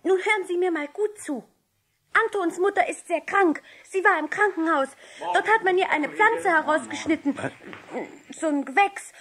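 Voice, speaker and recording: A woman's voice: very high-pitched, normal speed, a bit bright. A woman playing a plucky, persuasive little girl in a 1960s German radio play, with an emphatic, lecturing manner. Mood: indignant, emphatic. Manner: emphatic, lecturing, rhetorical questions.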